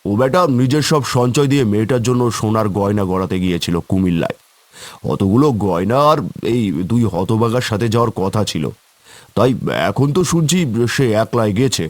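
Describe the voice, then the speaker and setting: A man's voice: lowish voice, animated, brisk, a little mellow, no echo, natural voice. A man voicing an anxious, scolding villager in a cartoon, fretful, folksy and full of questions. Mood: worried, chiding. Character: anxious, scolding village man among tea-stall regulars. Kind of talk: cartoon character acting.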